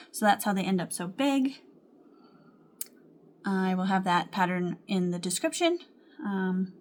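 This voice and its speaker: A young adult woman chatting casually to camera at home about her crochet projects, with frequent ums and small laughs. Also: a little high. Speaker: crochet vlogger.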